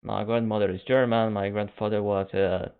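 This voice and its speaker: Phone-like, halting male stream commentator. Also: fairly low pitch, little pitch movement, clear, resonant tone. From Canada. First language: Spanish. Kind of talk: casual stream chat while waiting for a match. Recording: narrow phone-like band.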